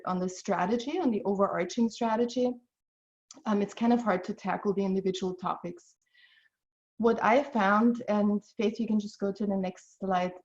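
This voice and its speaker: A woman's voice: mid-range voice, a little mellow. Woman on muffled call audio. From Austria. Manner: earnest, encouraging, measured explaining. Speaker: financial advisor guest. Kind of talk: webinar presentation.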